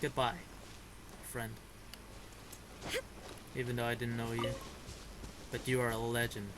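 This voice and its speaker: A man's voice: mid-range voice, completely flat delivery, a little slow, background noise. A man giving casual game commentary, reacting informally and muttering to himself as he plays. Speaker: gamer playing and reacting. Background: game music.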